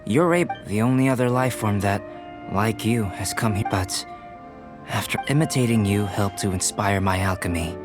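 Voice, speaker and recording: A composed young game alchemist acted by a man, courteous yet detached. The delivery is measured, formal and quietly inquisitive, like a scholar thinking aloud, with clear music underneath. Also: lowish voice, lightly acted. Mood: philosophical. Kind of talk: video game character voice acting.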